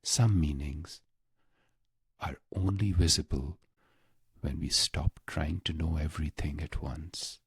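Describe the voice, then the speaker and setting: Male meditation narrator, unhurried and very breathy, husky, close and dry sound, scripted short phrases. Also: no echo. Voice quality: low, breathy, husky voice with creak. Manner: hushed, unhurried delivery in short phrases with long pauses. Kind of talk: slow, scripted guided meditation.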